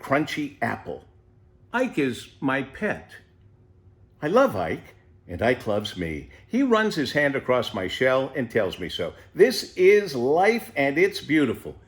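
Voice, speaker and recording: A man reading a children's storybook as an unhurried, contented eighty-year-old pet tortoise who savours everything with wonder. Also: mid-range voice. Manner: savouring, leisurely, full of wonder.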